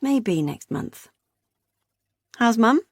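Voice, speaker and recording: A heavily pregnant young woman, as a woman narrator puts her on, talks in a dry, sarcastic way, pitched higher than the narration. The recording is dry and close. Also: lively intonation, extremely slow, slightly rough, dry recording, exaggerated voice. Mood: weary. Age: young adult.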